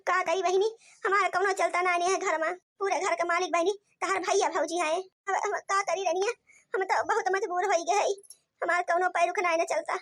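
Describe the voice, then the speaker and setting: A woman's voice: very high voice, fairly even intonation, fairly quick, fairly bright tone. A woman voicing a tender, helpless old village mother with an Awadhi accent, doting and resigned, for a cartoon comedy.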